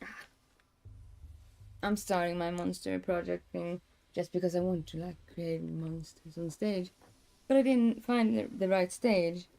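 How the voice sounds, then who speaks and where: Young woman streamer, kinda hoarse and gravelly, lowish voice, rambles and swears casually. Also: dark tone. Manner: rambling, many fillers and restarts, swears casually. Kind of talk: casual chat.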